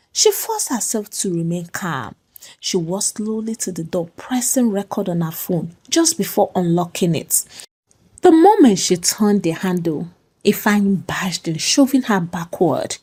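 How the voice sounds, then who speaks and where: Female storyteller, dramatic narration, mellow dark tone, very varied pitch, unhurried. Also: big pitch swings, husky.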